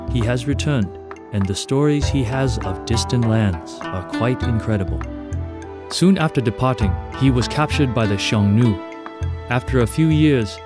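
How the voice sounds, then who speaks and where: A man reading a formal, stately mission briefing for a strategy game, with music playing behind him. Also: mid-range voice. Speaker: game narrator. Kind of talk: scripted mission briefing narration.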